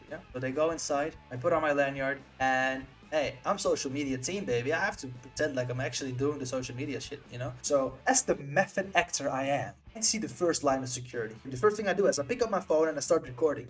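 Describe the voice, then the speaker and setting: Fast-talking young man telling a story. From Netherlands. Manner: excitable, acts out friends' lines with swings in pitch. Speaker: vlogger. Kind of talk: animated storytime.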